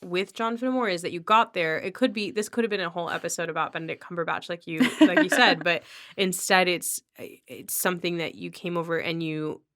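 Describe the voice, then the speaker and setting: A woman's voice: fairly low pitch, a little fast, a bit bright. A woman hosting a casual podcast conversation, talking reflectively in long explanations. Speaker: podcast host.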